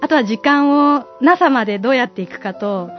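High-pitched giggly woman, chatting casually. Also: lively intonation, a little fast. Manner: giggly, musing. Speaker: podcast co-host playing a bar customer. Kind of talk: casual comedic chat. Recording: dull audio with music behind. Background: background music.

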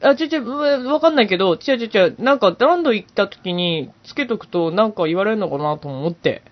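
Lively woman in casual, slangy chat. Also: mid-range voice, fast. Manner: slangy, rough-edged informal speech. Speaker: podcast guest. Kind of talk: casual chat among friends. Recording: slightly muffled lo-fi podcast recording.

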